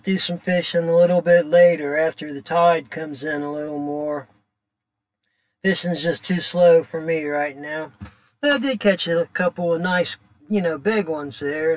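A middle-aged man chatting casually as he hosts a fishing live stream, recorded outdoors on a small boat. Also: a little high. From United States. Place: outdoors aboard a small sailboat at a dock.

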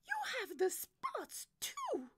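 Storybook leading soprano acted by a woman, female, bold and theatrical, extremely high, swooping emphatic delivery. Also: huge pitch swings, slow pace, a bit bright, slight background noise, heavily acted. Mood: panicked. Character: dramatic, protective female opera soprano, bold and dismissive.

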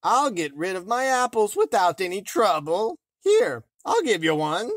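A man voicing a wicked, envious queen disguised as an old farmer's wife, loud and drawn out, in a storybook reading. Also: high voice, sing-song, a little slow, dry recording, clean studio sound, pitched above the performer's own voice, exaggerated voice. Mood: wheedling.

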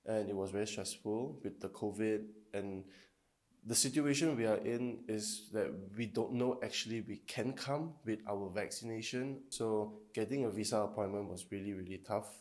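A man's voice: lowish voice, moderate pace, darkish tone. A man in his twenties from Malaysia, a student, speaking in a friendly, slightly hesitant documentary interview. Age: 20s. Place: university room, indoors.

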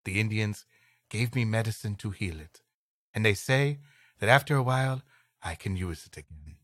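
A man's voice: on the low side, moderate pace, a bit bright, no room sound, studio quality. A man co-hosting a comedy podcast, bantering and reading stories. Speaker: podcast co-host.

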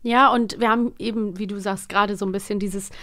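A woman's voice: mid-range voice, fairly even intonation, fast, a bit bright. A woman in her thirties co-hosting a podcast, chatting casually as she reviews audio dramas. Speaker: podcast co-host.

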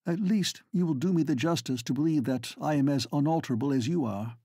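A man reading the part of a devoted, lovelorn gentleman from an old novel, speaking passionately and reproachfully. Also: a little high, fairly quick, slightly rough, no echo, clean studio sound. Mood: sad.

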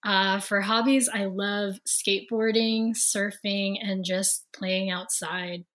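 A woman's voice: mid-range voice, fairly even intonation, slow pace, a bit bright. A woman from the Philippines introducing herself as a podcast guest. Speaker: podcast guest, writer and editor. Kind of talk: self-introduction.

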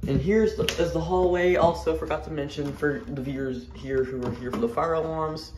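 A man's voice: a little high, fairly even intonation, a little fast. Rambling young man on noisy phone audio. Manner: rambling, offhand. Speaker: vlogger. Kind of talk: casual off-the-cuff house tour narration. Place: walking through a house. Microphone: handheld phone. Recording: noisy handheld phone recording with clicks.

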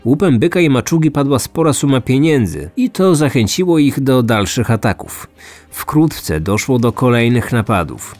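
A man's voice: medium pitch, very animated, normal speed, a little mellow, no echo. A man hosting a true-crime podcast, reading scripted storytelling narration. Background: music.

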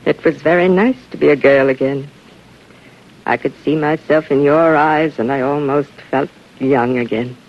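An American actress playing a calm, wistful woman psychiatrist of sixty-six in a 1950s radio drama. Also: low voice, moderate pace. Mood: wistful, gentle. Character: gentle, wistful sixty-six-year-old woman psychiatrist aboard spaceship. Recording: dull vintage radio recording.

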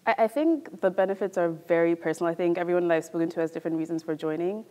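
Low-voiced young woman from Ghana. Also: rapid-fire, dark tone. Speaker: MBA student. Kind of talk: testimonial to camera. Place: large elegant room indoors. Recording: reverberant room.

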